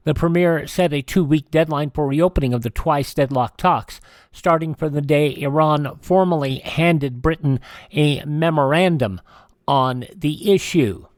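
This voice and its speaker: A man hosting a radio show, reading old news items in a halting way with stumbles and self-corrections. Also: fairly high pitch, no echo, studio quality.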